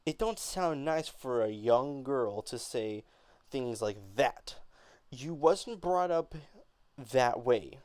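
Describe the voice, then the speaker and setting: A man's voice: mid-range voice, lively intonation, slow pace, a little mellow, dry recording, voice pushed up. A man acting a stern, reproachful pious mother. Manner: reproachful, admonishing, folksy. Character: stern, pious mother scolding her grown daughter. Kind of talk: amateur dramatic play reading.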